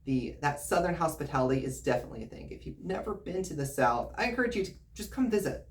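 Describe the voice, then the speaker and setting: Real estate video host from the US, mid-pitched voice, informal and explanatory delivery to camera. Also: very animated, quick pace, slight background noise. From United States, grew up in Virginia and Missouri.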